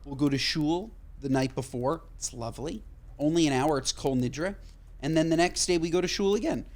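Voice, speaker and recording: A man's voice: a little high, a little mellow. A man co-hosting a podcast, chatting casually and emphatically, swearing freely. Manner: emphatic, swears casually, talks in quick bursts. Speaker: podcast co-host. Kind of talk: casual chat.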